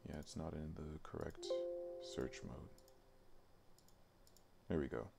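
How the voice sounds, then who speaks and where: A man thinking aloud in a low-key, grumbling way as he works on a casual livestream. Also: fairly even intonation, slow pace, vocal fry, over loud music. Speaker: streamer. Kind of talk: casual livestream muttering while working. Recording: faint background noise, keyboard clicks.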